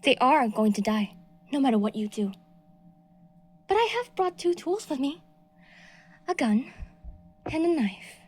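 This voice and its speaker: A woman's voice: lively intonation, unhurried, pauses often. A woman voicing an obsessive, delusional stalker. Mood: coldly threatening. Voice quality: breathy whispered delivery. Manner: shifts between soft menacing whispers and shrill outbursts. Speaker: audio roleplay performer. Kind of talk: scripted audio roleplay.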